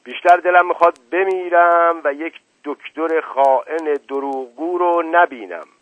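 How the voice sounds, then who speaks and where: A man voicing a quick-tempered, paranoid old man who shouts indignant orders. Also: a little high, no room sound, voice pushed up, lightly acted. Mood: furious. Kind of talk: audiobook character reading. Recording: tinny narrow-band, dry.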